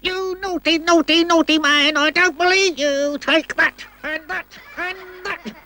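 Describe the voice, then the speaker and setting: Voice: very high-pitched, fairly even intonation, a little slow, sharp tone, dry recording, heavily acted. A performer voicing an indignant, scolding, violent puppet with a squawky voice in a puppet-show film.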